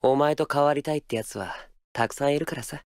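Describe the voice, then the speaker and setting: An actor playing a cool, cutting high school senior ballplayer in a baseball game, understated in delivery. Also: male voice, fast, lightly acted. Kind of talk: anime-style game character lines.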